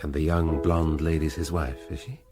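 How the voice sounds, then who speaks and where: A man's voice: extremely low pitch, flat delivery, moderate pace, music in the background. A man playing a shrewd police inspector in a radio drama, speaking in a dry, hesitant, politely probing way. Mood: probing, wry. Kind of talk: full-cast radio drama acting.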